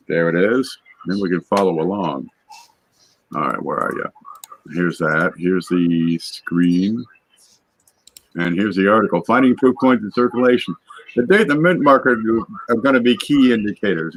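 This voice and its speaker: A man with a lowish voice hosts a casual panel Q&A, reading out viewer questions and then walking through the answers. His talk is chatty and explanatory, full of fillers and restarts. The sound is dry and close, with no room echo. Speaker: show host.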